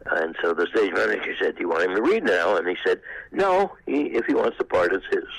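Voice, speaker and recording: An elderly man in his eighties casually reminiscing in an interview, in a halting, anecdotal way. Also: low-pitched, very animated, creaky, slightly rough, poor audio. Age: 80s. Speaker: former actor being interviewed.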